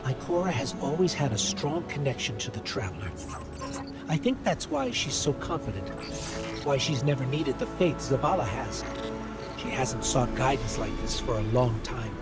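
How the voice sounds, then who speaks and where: A man voicing a small, earnest robot companion in a video game, articulate and expressive, with a sense of wonder. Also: mid-range voice, normal speed, loud music behind the voice, lightly acted. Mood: awed, wondering. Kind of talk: video game cutscene narration and dialogue.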